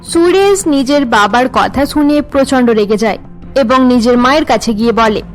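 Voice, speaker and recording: A woman narrates a cartoon story from a script. Her voice is high-pitched. Faint music plays behind the narration. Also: normal speed, rough recording.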